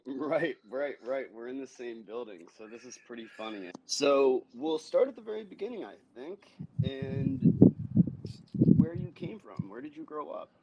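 A man's voice: mid-range voice, animated, moderate pace, creaky, highs cut off, heavy background noise. A man hosting a podcast, moving between wry announcements and casual questions. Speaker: podcast host. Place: indoors, in person with guest.

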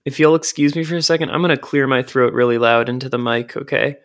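Gravelly, just-woken young man. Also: medium pitch, little pitch movement. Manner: rambling, halting restarts, dry humor. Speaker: podcast co-host. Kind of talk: casual chat.

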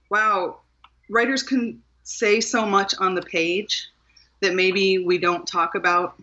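A woman from Maryland with a bright, forceful voice speaks in a reflective interview, earnest and a little self-deprecating, sometimes restarting her sentences. The sound is slightly muffled and lo-fi. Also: on the low side. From Maryland, USA. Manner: thoughtful, earnest, with small laughs and restarts. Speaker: guest author.

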